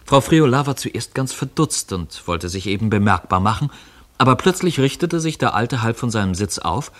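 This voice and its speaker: A man narrating a literary radio drama as a storyteller, with measured, formal and expressive phrasing. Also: mid-range voice, lightly acted. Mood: measured, storytelling.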